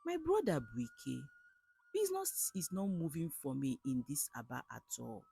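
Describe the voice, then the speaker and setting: A woman's voice: deep voice, very animated, measured pace, creaky, dark tone, breathy edge, faint music behind, exaggerated voice. A woman with a Nigerian accent voicing a desperate, naive male shop owner in a folktale, plaintive and earnest. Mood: despondent lament. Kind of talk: storybook folktale character.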